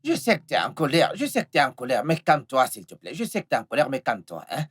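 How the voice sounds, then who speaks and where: A man's voice: sits high, big pitch swings, fast talker, fairly bright tone, slightly rough, exaggerated voice. A man playing a volatile, scheming husband in a film melodrama, repeating himself and stammering through his words. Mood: pleading, soothing. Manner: repetitive, stammering delivery. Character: volatile, scheming unfaithful husband with a short temper.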